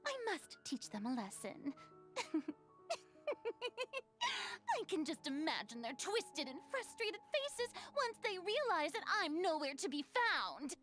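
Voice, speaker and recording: Casting: grandiose young game goddess hiding heartbreak; very high, unhurried, stammers when flustered. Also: female voice, a bit bright, exaggerated voice. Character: theatrical, haughty young female archon hiding heartbreak. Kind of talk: video game voice acting.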